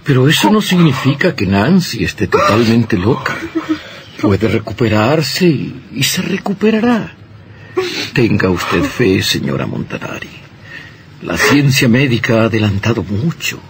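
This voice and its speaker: An actor playing a tactful, reassuring physician in an old radio drama, with a hesitant, measured manner. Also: male voice, medium pitch, sing-song. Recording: noisy old radio recording.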